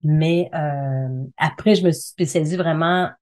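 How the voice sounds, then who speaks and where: A woman's voice: low-pitched, a little slow, a little mellow. A middle-aged woman with a Quebec French accent speaking as an interview guest, explaining things conversationally and repeating phrases for emphasis. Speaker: interview guest, communication consultant and trainer.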